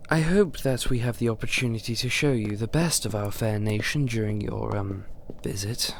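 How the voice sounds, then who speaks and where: A man's voice: lowish voice, moderate pace, creaky. A man plays a royal chamberlain in a fantasy audio drama. He is courteous and deferential, picking his formal words with some hesitation. Faint noise and ambience sit behind his voice. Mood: polite, hesitant. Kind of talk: fantasy audio roleplay acting.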